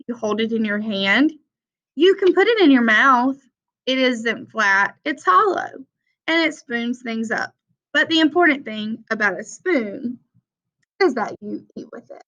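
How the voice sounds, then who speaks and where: A young woman reads a children's picture book aloud in a fairly high, very bright voice. She takes it slowly, with simple, deliberate phrasing. The recording sounds a little dull and lo-fi. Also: animated. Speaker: read-aloud presenter for children.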